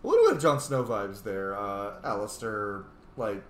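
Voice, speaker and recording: A man's voice: lowish voice, sing-song, moderate pace, fairly bright tone. Jokey male gamer in an echoey room. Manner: jokey, riffing, easily amused. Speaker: gamer playing and commenting on a role-playing game. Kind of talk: casual playthrough commentary with in-character replies. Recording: roomy echo, faint noise.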